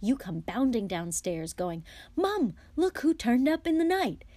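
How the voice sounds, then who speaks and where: A woman's voice: mid-range voice, expressive, measured pace, a little mellow, no echo, natural voice. A woman voicing a cheeky teenage boy in a storybook reading, eager and emphatic. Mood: scheming. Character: cheeky teenage boys, brothers pleading with their mother.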